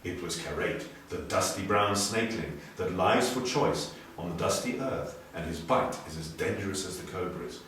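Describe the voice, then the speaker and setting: A man reading a story dramatically, moving between hushed and emphatic delivery. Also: deep voice, lively intonation, moderate pace, hardly any pauses. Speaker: story reader. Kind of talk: expressive storybook narration. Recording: very echoey room, distant, faint noise.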